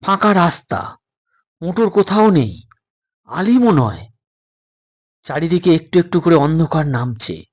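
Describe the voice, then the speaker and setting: A man narrates a suspense tale as a dramatized audio story. His voice sits a little high and has a distinctly husky, breathy grain, shaped for scripted storytelling. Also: animated, unhurried, long pauses.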